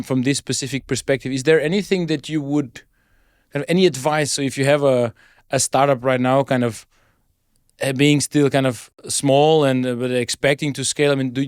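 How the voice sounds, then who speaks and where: Smooth-voiced man, tentative conversational interviewer. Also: medium pitch, a little mellow, no echo, studio quality. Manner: tentative, rephrases questions, listens with murmurs. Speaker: podcast host, interviewer.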